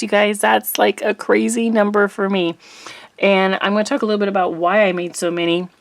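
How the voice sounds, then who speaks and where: A middle-aged woman chats casually and rambles a bit, with frequent ums. Her voice sits a little low and sounds slightly rough from allergies. The sound is dry and close, with no room echo. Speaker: crafting channel host. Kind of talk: casual chat to camera.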